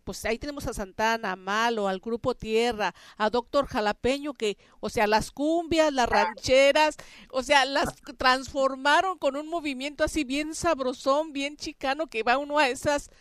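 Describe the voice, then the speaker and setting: A Mexican woman hosts a radio show with a fairly high, smooth and clear voice. She talks in a chatty, colloquial way, as if in conversation. The sound is dry, with no room around it. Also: moderate pace, no room sound. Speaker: radio host.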